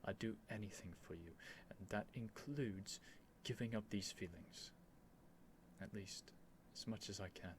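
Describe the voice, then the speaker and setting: A man playing an earnest, nervous young prince devoted to a dragon, in a halting, emotional ASMR roleplay addressed to the listener. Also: lowish voice, a little hiss or hum, lightly acted. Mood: pleading, resigned. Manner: halting, stammering, breathy sighs and whispers, emotional.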